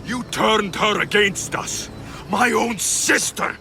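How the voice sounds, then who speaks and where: An actor playing a vengeful, grieving young Greek mercenary warrior in a dramatic video game cutscene. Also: male voice, high voice, takes it slowly, vocal fry, slight background noise, full character voice. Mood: bitter anger. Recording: loud music behind the voice. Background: loud music.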